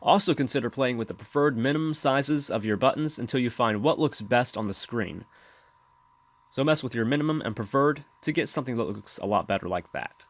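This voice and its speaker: A man hosting a software tutorial, explaining steps in scripted voice-over narration. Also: mid-range voice, fairly quick. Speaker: tutorial host.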